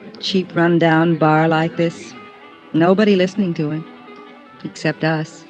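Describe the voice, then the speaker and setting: A woman's voice: low voice, fairly even intonation, measured pace, darkish tone. An actress playing a wistful, forward young woman at a bar in a vintage radio drama. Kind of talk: 1950s radio drama acting. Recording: dull vintage radio recording, guitar behind.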